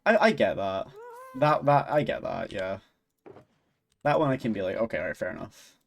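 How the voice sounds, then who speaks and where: A man's voice: lowish voice, lively intonation, normal speed, vocal fry. A man with a Scottish accent, chatting casually on a stream, rambling and laughing often. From Scotland. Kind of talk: casual chat while gaming and reading chat.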